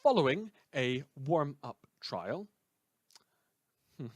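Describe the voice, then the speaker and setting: A man playing a rambling, conflicted late-night radio host in a post-apocalyptic audio drama, with a wry, halting, self-correcting manner. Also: on the high side, very animated, a little mellow, no echo, clean studio sound, exaggerated voice. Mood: deadpan, fumbling.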